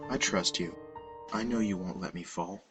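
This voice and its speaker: Casting: man playing thrill-seeking heartless schoolgirl, calm, coy and daring, horror story dialogue over music. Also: low voice, normal speed.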